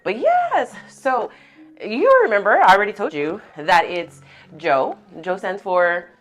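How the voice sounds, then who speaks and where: A woman's voice: mid-range voice, big pitch swings, measured pace. A woman from American Samoa who is sick with a cough, chatting casually at home and answering questions with lots of fillers. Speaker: host. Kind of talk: casual chat.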